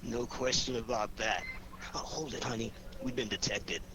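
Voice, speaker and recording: A man's voice: mid-range voice, fairly even intonation, normal speed, natural voice. An American man playing an easygoing, wisecracking amateur sleuth with flippant, teasing banter in a 1940s radio drama. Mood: wry. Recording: noisy vintage 1940s radio recording.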